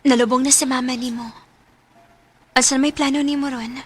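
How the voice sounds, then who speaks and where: An actress playing a caring, devoted young sweetheart in a radio drama, with a Cebuano Filipino accent. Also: female voice, high voice, steady tone, a little fast, breathy edge. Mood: concerned, soothing. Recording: music bed behind radio drama.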